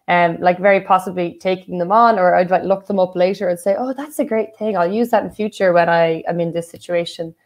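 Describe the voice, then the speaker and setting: Low-voiced Irish woman, thoughtful. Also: a little mellow. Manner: thoughtful, with many "um" and "like" fillers. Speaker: guest, writer and translator. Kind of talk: casual conversation.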